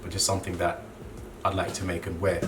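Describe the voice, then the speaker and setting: Young guy from zambia, low flat voice, laid-back talk, mic kinda far, noisy background. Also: a little fast. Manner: relaxed, with frequent "you know" fillers. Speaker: clothing brand co-founder. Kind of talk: casual interview monologue. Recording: distant mic with clear background noise.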